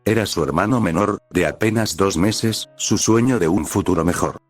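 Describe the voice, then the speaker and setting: A man with a very low voice narrates a scripted story in audiobook style. He reads as the narrator of a piece of fan fiction. Soft music plays underneath his voice. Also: moderate pace. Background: background music.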